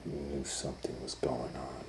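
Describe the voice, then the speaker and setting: A middle-aged man reading a picture book aloud for children, dropping to whispers and rising to loud excited voices for the story. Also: a bass voice, moderate pace, vocal fry, roomy sound, a little hiss or hum. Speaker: storytime reader.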